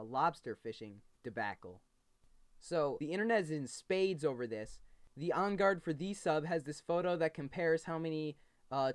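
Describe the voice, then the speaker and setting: A man's voice: on the high side, clean tone. A man hosting an informal news explainer, telling the story in a casual, simplified way. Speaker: host of a homemade news explainer. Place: bedroom at a computer desk.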